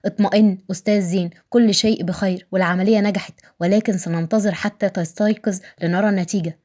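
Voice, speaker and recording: A woman voicing a calm, reassuring male hospital doctor in an audio story, speaking formally. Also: medium pitch, quick pace, lightly acted.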